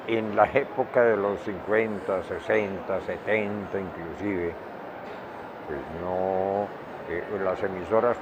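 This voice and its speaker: Older colombian guy being interviewed, really slow and deliberate, dull recording with street noise behind. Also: lowish voice. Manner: deliberate, emphatic, repeats words for emphasis. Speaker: interviewee, veteran radio director and journalist. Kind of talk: reflective interview talk. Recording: dull lo-fi, clear outdoor traffic noise.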